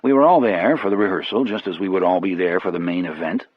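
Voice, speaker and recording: A man narrating an audiobook in the first person, telling the story in a measured, wry way. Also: low-pitched, husky, no echo. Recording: dry but muffled, dull audio.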